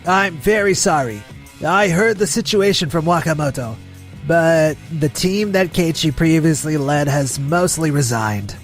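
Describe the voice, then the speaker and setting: A man's voice: a little high, a little slow, soft background music. A man voicing an arrogant, defensive younger brother who works as a sales manager in a manga drama dub. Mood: formal, evasive. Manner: indignant, defensive.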